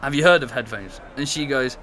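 An actor playing an exasperated, chatty former flatmate telling stories in an animated, conversational audio drama style. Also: male voice, mid-range voice, a little fast, a little hiss or hum, close to an everyday voice. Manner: animated storytelling, mimicking and exclaiming.

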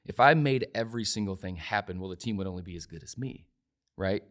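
A man's voice: fairly low pitch, quick pace, a bit bright. A man of forty in a casual interview, talking emphatically and repeating phrases, with frequent restarts. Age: 40s. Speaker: podcast guest.

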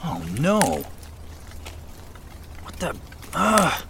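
Slow, exasperated stranded man. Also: high-pitched, wildly swooping pitch, gravelly, pushed and bright, a little hiss or hum, full character voice. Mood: dismayed, muttering. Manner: halting, dramatic pauses. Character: stranded, exasperated office worker pleading for help.

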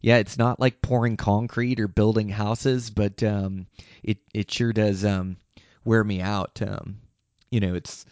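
An American man hosting a podcast, talking casually in a rambling, informal way, tired and a little hoarse. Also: fairly low pitch, level tone, measured pace, a little mellow. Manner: rambling, informal, hesitant phrasing. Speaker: podcast host. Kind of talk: casual podcast outro monologue. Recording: echoey room, slightly dull sound.

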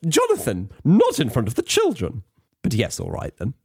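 Man putting on a high, prim Victorian wife. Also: wildly swooping pitch, normal speed, a little mellow, husky, no echo, studio quality. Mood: mock scandalised. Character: prim, easily scandalised Victorian wife with naughty streak.

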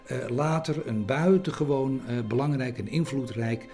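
A man talking reflectively in an interview, hesitant and halting, often repeating his phrases. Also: medium pitch, warm tone, soft background music.

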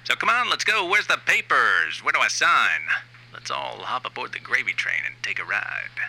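A man performs a brash, aging new wave rocker who cares only about money, in a satirical audio monologue. His voice is very bright and forceful with a gravelly creak, swinging up and down as he rants with sardonic emphasis. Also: medium pitch, very animated, normal speed, full character voice, poor audio. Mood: brash, impatient. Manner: sardonic, emphatic, profane, ranting.

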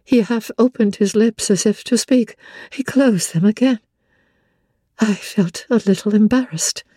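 A woman narrating classic literature in a measured, expressive storytelling manner. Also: medium pitch, dry recording, studio quality.

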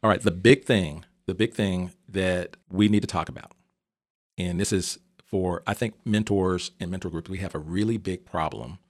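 A man with a low voice hosts a casual interview. The sound is close and dry, and he chats through questions and reactions in an informal way. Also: normal speed, no echo. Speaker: interviewer, host.

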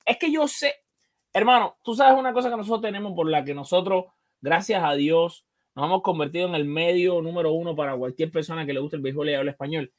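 A man's voice: fairly high pitch, fast talker. Emphatic male sports show host. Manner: emphatic, repeats phrases for emphasis. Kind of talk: casual baseball discussion.

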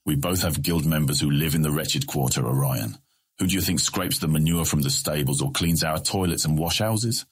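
Very deep, husky scheming merchant. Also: male voice. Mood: patient, cautioning. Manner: formal, measured, placating. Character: scheming, measured male merchant guildmaster, politely persuasive. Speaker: voice actor in audiobook. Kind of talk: full-cast fantasy audiobook acting.

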